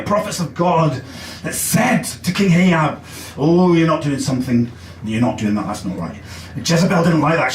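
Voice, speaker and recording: An English man with a fairly high voice performs a stand-up set, chatty and self-deprecating, often pausing on little fillers. His voice rings around an echoey basement club and sounds a bit far from the mic. A faint room hum sits underneath. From England. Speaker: stand-up comedian.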